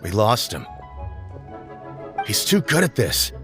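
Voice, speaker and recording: Dutiful subordinate, earnest report, lowish voice, faint game music. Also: male voice, huge pitch swings, takes it slowly, sharp tone, exaggerated voice. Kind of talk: game dialogue.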